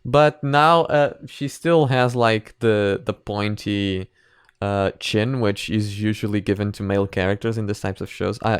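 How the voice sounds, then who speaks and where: A man's voice: lowish voice, clear, resonant tone, no echo, clean studio sound. A man giving casual reaction commentary as a video host, rambling and thinking aloud.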